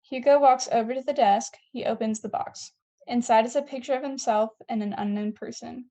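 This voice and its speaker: A woman's voice: on the high side, steady tone, a little fast, warm tone. A woman reading scene headings and stage directions as the narrator of a scripted table read.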